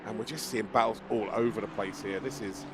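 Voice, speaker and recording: A man commentating a live sim race with a croaky, strained voice, excitable and joking. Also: on the low side, brisk, background noise. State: hoarse, losing his voice.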